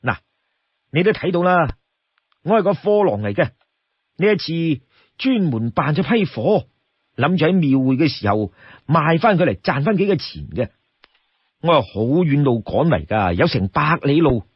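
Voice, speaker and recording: A man's voice: fairly high pitch, very animated, normal speed, long pauses, husky, dry recording, muffled recording. A man voicing a polite young travelling peddler with a Cantonese accent, earnest and persuasive, in an audio story. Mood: earnest, pleading.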